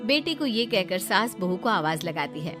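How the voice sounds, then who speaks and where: A woman's voice: mid-range voice, very animated, normal speed, fairly bright tone, soft background music. A woman narrating a family cartoon story in a plain storytelling manner.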